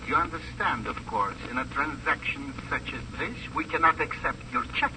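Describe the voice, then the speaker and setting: An actor playing a menacing, mocking criminal in a 1950s radio crime drama, speaking with formal, measured politeness. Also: male voice, fairly high pitch, sharp tone, low-quality recording. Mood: smugly mocking. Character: menacing, precise, mocking male criminal on telephone. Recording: old radio recording, faint noise.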